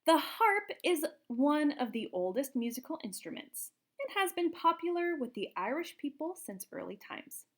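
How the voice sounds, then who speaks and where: Lively, high-pitched woman reading to children. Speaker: children's storybook reader, teacher. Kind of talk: picture book read-aloud for young children.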